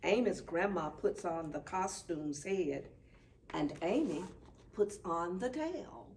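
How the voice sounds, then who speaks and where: A woman reading a children's picture book aloud at story time, expressive and deliberate. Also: lowish voice. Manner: deliberate, animated. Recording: distant mic, faint background noise.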